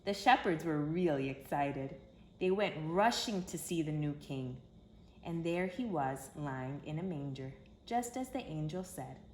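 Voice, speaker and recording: A woman with a fairly low voice reads a story to young children. Her delivery is expressive and emphatic, with simple phrasing. The recording is echoey, with a faint to clear layer of noise behind her. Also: unhurried. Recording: roomy echo with background hiss.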